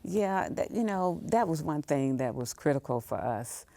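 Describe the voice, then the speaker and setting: A woman's voice: low-pitched, lively intonation, normal speed, vocal fry, mellow, slight background noise. A woman talking as an interview guest, repeating words for emphasis. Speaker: museum leader, podcast guest. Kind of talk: conversational interview.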